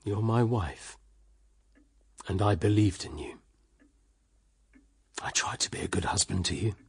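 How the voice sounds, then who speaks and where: An actor playing a jealous, proud British husband in a period radio drama, formal, severe and accusing. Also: male voice, fairly low pitch, unhurried, gravelly, breathy edge, heavily acted. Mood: bitter, hurt. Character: stern, jealous, proud husband, cold and unforgiving.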